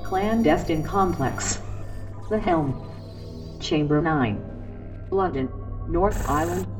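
Scene announcer, lowish and very slow, solemn impersonal captions for sci-fi drama, loud music. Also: female voice, animated. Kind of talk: scene announcement in science fiction audio drama. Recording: distant voice under loud music.

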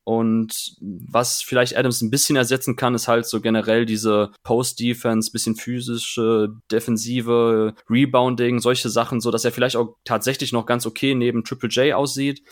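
A man's voice: medium pitch, level tone, normal speed, hardly any pauses. A man speaking as a podcast guest, giving casual sports analysis.

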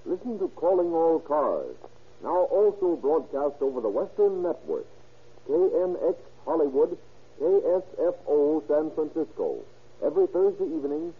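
A man with a rough, grainy voice making station announcements in 1930s radio style. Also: fairly high pitch, very animated, normal speed. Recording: muffled vintage 1930s radio recording.

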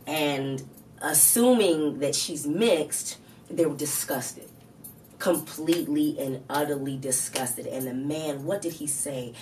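A woman in her thirties from Florida tells stories in a low voice with lots of restarts and drawn-out words. She sounds a little off-mic, with faint noise behind her. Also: a little mellow, distant mic. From Florida, US South. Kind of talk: casual group chat.

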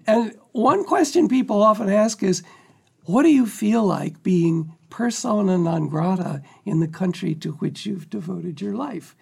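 A man with a high, heavily gravelly voice speaks in an interview. His tone is husky, and he talks earnestly and reflectively as one of the book's editors. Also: darkish tone. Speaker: book editor. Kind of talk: conversational interview.

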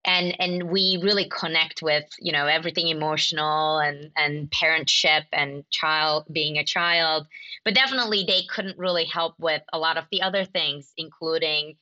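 Young Turkish-born woman, podcast guest, low pitch, reflective, a bit dull-sounding. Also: steady tone, moderate pace, bright, forceful tone. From Turkey. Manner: thoughtful, with frequent fillers and restarts. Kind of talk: conversational interview answers.

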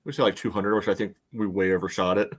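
A man giving casual sports analysis on a podcast in a measured, hedging, conversational way. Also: on the low side, steady tone, husky.

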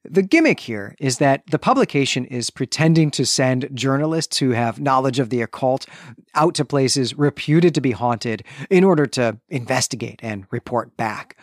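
A man's voice: medium pitch, animated, moderate pace, no echo, clean studio sound. A man co-hosting a podcast, recapping and discussing fiction in a discursive, conversational way with frequent qualifications. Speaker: podcast co-host. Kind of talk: book discussion and story recap.

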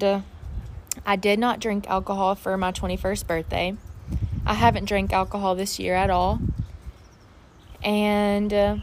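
A twenty-one-year-old woman talks openly and casually to her listeners, leaning on "like" and "uh" as she goes. She sits outside in her backyard, with faint background noise around her voice. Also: medium pitch. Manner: chatty and candid, many fillers like "like" and "uh". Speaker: podcast host. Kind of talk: casual solo podcast chat.

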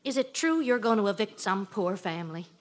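An actress playing an exasperated but loving housewife in an old radio comedy, with an emphatic, dramatic, nagging delivery. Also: female voice, medium pitch, lively intonation, brisk, lightly acted. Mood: indignant scolding. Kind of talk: 1940s radio comedy acting. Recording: old radio show recording.

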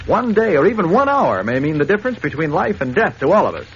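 Worried urgent hero type, old radio serial, higher voice, talks fast, bit of hiss. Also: male voice, sing-song. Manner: urgent, insistent. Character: worried, persistent man in his office guise. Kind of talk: 1940s radio acting. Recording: muffled, hissy old radio recording.

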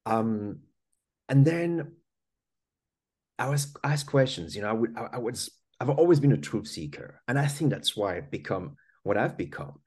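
A man's voice: mid-range voice, expressive, moderate pace, a little mellow, husky. A man from France with a French accent, a podcast guest telling his life story in an earnest, conversational way. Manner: earnest, many small restarts and "you know".

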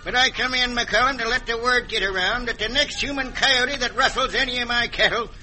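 A man's voice: very high-pitched, fairly quick, sharp tone, soft background music, heavily acted, poor audio. An actor playing a hot-tempered cattleman in an old radio western, with an American western accent. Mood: gruff, threatening. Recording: vintage radio recording.

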